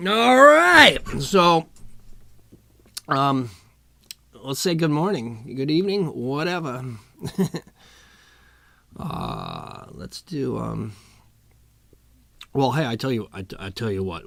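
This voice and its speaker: Laid-back man with a rough morning voice. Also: medium pitch, wildly swooping pitch, slow, long pauses, bright, forceful tone, no echo. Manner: laid-back, rambling, chuckles often. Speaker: musician hosting a live stream. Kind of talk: casual chat between songs. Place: at home. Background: acoustic guitar playing.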